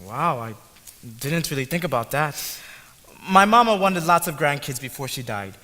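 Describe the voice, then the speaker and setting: A man's voice: on the high side, very animated, moderate pace, bright, forceful tone. A man playing a polite young immigrant. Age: young adult. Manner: polite, earnest. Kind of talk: student stage play. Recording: reverberant hall, faint noise.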